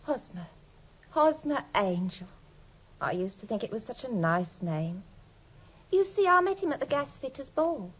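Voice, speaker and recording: A woman's voice: fairly high pitch, big pitch swings, unhurried, pauses often, slightly rough, exaggerated voice. An actress in an old British radio drama playing a naive, trusting, lovelorn young woman who speaks earnestly and breathlessly. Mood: wistful, confiding. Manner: breathless, earnest, deferential, pours out her story. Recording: dull, lo-fi old radio recording.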